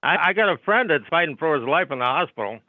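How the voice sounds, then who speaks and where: A man's voice: on the high side, lively intonation, fast talker, no echo. A man in his seventies calling in to a casual chat, talking in a chatty, agreeable way. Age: 70s. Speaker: caller. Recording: dry, muffled lo-fi line.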